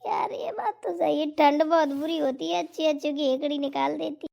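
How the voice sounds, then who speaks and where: A woman's voice: sits high, moderate pace, gravelly, warm tone. A woman voicing a whiny mother-in-law. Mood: chatty. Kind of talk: Hindi cartoon comedy voice acting.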